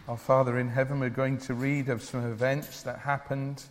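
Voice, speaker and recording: A man preaching a church sermon in an earnest, deliberate way, with declarative phrasing. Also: medium pitch, little pitch movement. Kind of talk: evangelical church sermon with Bible reading and prayer. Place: large church or hall, speaking to a congregation. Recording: reverberant hall, long echo.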